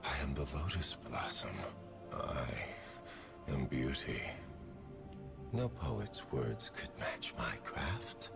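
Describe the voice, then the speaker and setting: An actor voicing a theatrical male assassin who treats killing as art, slow and grandiose, often whispering, in game voice lines. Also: very animated, heavily acted. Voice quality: deep gravelly voice. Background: music.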